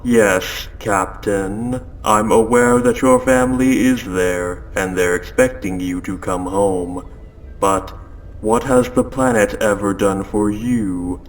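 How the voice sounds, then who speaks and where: A man voicing a calm, possessive spaceship AI in an audio roleplay, speaking in a measured, formal, softly coaxing way. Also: on the low side, a little hiss or hum, low-quality recording. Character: calm, possessive spaceship AI turning quietly obsessive. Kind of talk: scripted audio roleplay monologue. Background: ambient sound effects.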